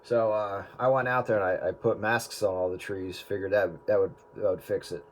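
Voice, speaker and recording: A man chatting casually in a group with a joking, deadpan manner. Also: fairly low pitch, moderate pace. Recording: very loud background noise, radio-like.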